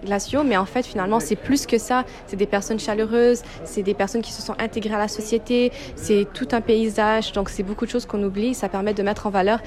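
A woman's voice: medium pitch, fairly even intonation, fairly quick, hardly any pauses, a little mellow. Young woman explaining in an interview. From Quebec, Canada. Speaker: youth organization representative being interviewed.

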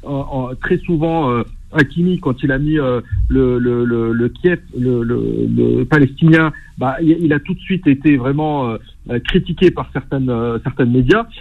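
A man's voice: mid-range voice, moderate pace. A man calling in to a radio show, earnest and hesitant, stumbling over repeated words. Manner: hesitant, many restarts, earnest. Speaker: radio caller. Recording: phone line.

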